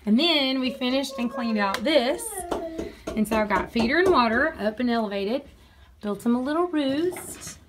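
A woman's voice: a little high, animated, normal speed, noisy background. A woman chatting casually while doing farm chores, rambling and laughing often, recorded outdoors and in a barn on a handheld phone. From Tennessee, USA. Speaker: homestead vlogger.

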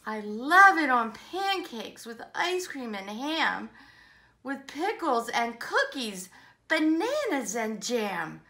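An excitable, stubborn girl monkey, acted out by a woman for a children's storybook. The voice is high and forceful, swinging up in singsong bursts. She gushes greedily and stresses her words hard. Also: takes it slowly, heavily acted. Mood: gleeful. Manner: gushing, emphatic, singsong. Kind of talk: children's storybook read-aloud.

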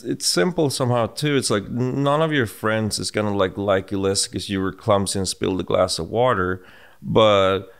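A middle-aged man with a Swedish accent, co-hosting a podcast in casual conversation, reflective and halting with restarts, recorded on a studio mic in a home room. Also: lowish voice, vocal fry, clean tone. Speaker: podcast co-host. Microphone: boom-mounted studio mic.

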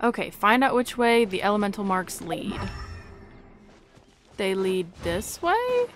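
A woman's voice: medium pitch, wildly swooping pitch, unhurried. A young woman giving casual, informal playthrough commentary, chattily thinking aloud as she plays. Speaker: gaming video creator. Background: game music.

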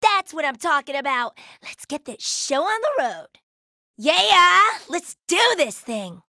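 A woman's voice: sits high, very animated, pushed and bright, no room sound, clean studio sound, heavily acted. A woman voicing a brash, playful young female alien fighter in a video game, with a cocky, slangy, laid-back manner. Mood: eager. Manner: cocky, slangy, drawn-out exclamations. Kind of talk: video game character voice lines.

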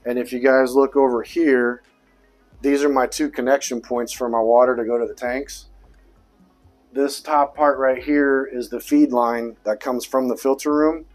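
A man with an average-pitched voice giving a relaxed tour of his basement water room. He explains his equipment step by step in a plain, practical, matter-of-fact manner. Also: a little slow, faint music behind. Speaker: fish tank hobbyist giving a tour. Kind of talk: casual walkthrough tour.

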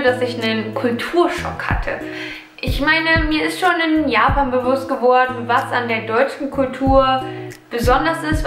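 A woman in her twenties from Germany talks casually from her sofa, pausing now and then to find the right words. Her voice sits high, and the room gives it a noticeable echo. Music plays softly in the background. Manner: chatty, reflective, searching for words. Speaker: vlogger answering viewer questions. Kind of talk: casual Q&A vlog. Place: living room, seated on sofa.

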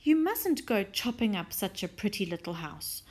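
A woman voicing a clever, courteous gentleman neighbour in a children's storybook, assured and instructive. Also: mid-range voice, big pitch swings, normal speed, husky. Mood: confident, instructive.

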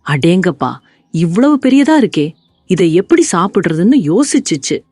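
A woman narrates a tale for children with wide, animated swings of pitch. Her phrasing is slow, deliberate and expressive. The recording is close and dry, with music underneath. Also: no room sound. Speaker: storyteller for children.

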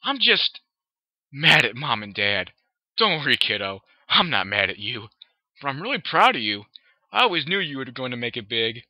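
A reader voicing a laid-back teenage colt with a loud, upbeat surfer voice, on a dull, dry lo-fi recording. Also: medium pitch, big pitch swings, normal speed, dry recording. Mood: excited.

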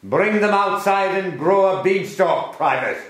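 An actor playing a stern, menacing, bullying military officer in a period radio drama. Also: male voice, sits high, a little slow, very echoey. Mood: angry, commanding.

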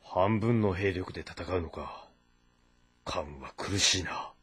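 An actor playing a grave, thoughtful warlord in a historical game drama, speaking with measured dignity. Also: male voice, low-pitched, a bit bright, slightly rough, heavily acted. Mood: concerned.

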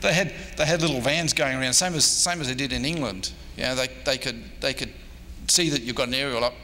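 A man's voice: medium pitch, a little fast, pushed and bright. Rambling older Australian man in an echoey hall. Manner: rambling, self-deprecating, hesitant. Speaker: historian giving a convention talk. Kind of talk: informal off-the-cuff lecture and audience questions. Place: indoor convention meeting room. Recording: echoey hall, background noise.

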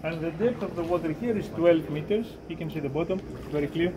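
A man's voice: a little high, fairly quick, background noise. A man in his thirties with a Greek accent, guiding a boat tour in plain, short, joking sentences. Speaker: boat guide. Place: large cave lake, on a rowing boat. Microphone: phone held by a passenger.

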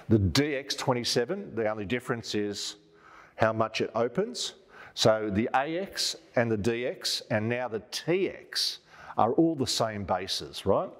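Echoey middle-aged Australian man presenting. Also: medium pitch. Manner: informal, conversational, checking in with "right?". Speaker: presenter of outdoor gear product videos. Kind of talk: casual product walkthrough. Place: large hard-walled showroom, indoors. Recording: echoey showroom, long reverb.

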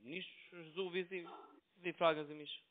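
A man with a foreign accent and non-native, halting German with broken grammar, making an unscripted sales call over the phone as a broker. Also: a little high, steady tone. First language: not German. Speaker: cold caller posing as a broker. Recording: tinny narrow phone line.

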